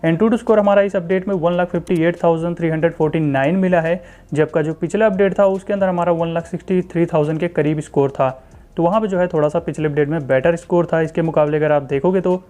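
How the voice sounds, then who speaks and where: An Indian-accented man talks through a software review in a casual way. His voice sits a little high for a man, with a distinctly dark, relaxed tone. He explains step by step, speaking straight to viewers and often restating his points. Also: quick pace. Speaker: tech channel host reviewing phone software. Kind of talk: casual screen-recording walkthrough commentary.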